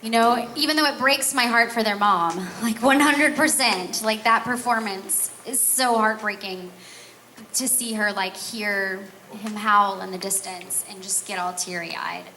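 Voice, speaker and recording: A woman's voice: mid-range voice, unhurried, sharp tone, slight background noise, low-quality recording. A woman voice actress answering a fan question at a convention panel, earnest and heartfelt. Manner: earnest, emotional, self-aware.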